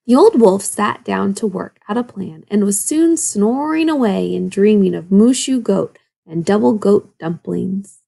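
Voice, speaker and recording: Casting: female primary teacher for an expressive storybook read-aloud, medium pitch, creaky edge, instructive delivery. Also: measured pace. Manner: instructive, questioning asides to children. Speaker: second-grade teacher.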